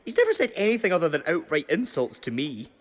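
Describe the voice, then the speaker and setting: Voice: mid-range voice, sing-song, quick pace. An actor playing a friendly, outgoing trainee eager for company, in casual naturalistic audio drama dialogue. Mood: friendly, wry.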